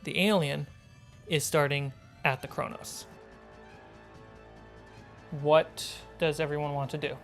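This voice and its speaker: A woman's voice: low-pitched, fairly even intonation, takes it slowly, pauses often, faint music behind. A woman narrating a tabletop role-playing game as its game master.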